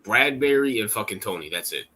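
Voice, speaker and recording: A man in his early twenties in a casual sports debate, brash and excitable and swearing freely. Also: on the low side, a little fast, husky. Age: 20s.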